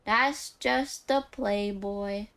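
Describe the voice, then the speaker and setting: A woman's voice: mid-range voice, drawn-out words, vocal fry, fairly bright tone, clean tone. A woman streamer giving casual live game commentary in a musing, jokey way. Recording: streamed voice over faint game music.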